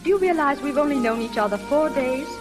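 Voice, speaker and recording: A woman's voice: high voice, expressive, a little fast. A young actress playing a coy, teasing young woman in a 1930s radio drama, bantering playfully. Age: young adult. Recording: crackly 1930s radio recording, music behind.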